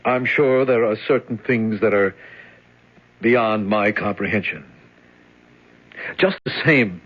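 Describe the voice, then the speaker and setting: Unsure deputy in an old radio play, raspy voice, kind of questioning, muffled recording. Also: male voice, on the low side, takes it slowly. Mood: doubtful, reluctant. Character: doubtful, needling male deputy to a boss. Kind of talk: old-time radio drama acting.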